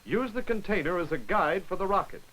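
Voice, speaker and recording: A man giving brisk, wry scripted narration for a 1940s military training film, on an old film soundtrack. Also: sits high, animated, a bit bright.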